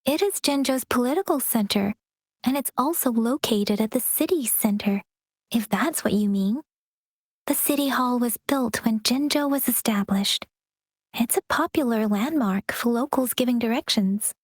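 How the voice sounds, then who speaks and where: An actress playing a gentle, polite young woman guide, soft-spoken and measured, in a game cutscene. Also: a little high, no echo, studio quality, close to an everyday voice. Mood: calm.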